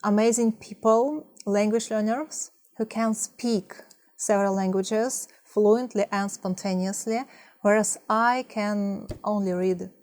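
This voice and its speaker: A woman in her thirties from Russia with a Russian accent, talking to camera in a soft, hesitant way with many fillers. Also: medium pitch, steady tone, slow. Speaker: language-learning vlogger. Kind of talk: partly scripted talk to camera.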